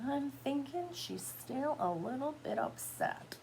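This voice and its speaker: A woman's voice: high voice, expressive, unhurried, creaky, very noisy. A woman teacher reading a picture book aloud to children, expressively, with explanatory asides to her young listeners.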